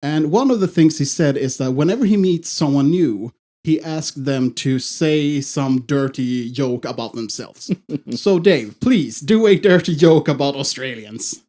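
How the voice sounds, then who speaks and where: Halting, rambling podcast host. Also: male voice, a little high, lively intonation, a little mellow. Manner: halting, with many fillers and restarts. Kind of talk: casual chat.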